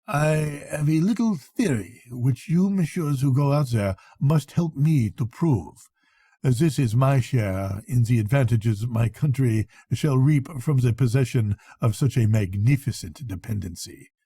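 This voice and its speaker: A man putting on a slow, mumbling doctor. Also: medium pitch, breathy edge, no echo. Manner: slow, mumbling, calmly matter-of-fact. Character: odd, shabby little old male doctor.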